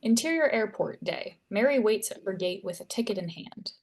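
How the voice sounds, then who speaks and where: A woman's voice: medium pitch, expressive, moderate pace, darkish tone. A woman narrates a screenplay at a table read. She reads scene headings and action lines in a mid-range voice. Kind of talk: reading screenplay stage directions at a table read.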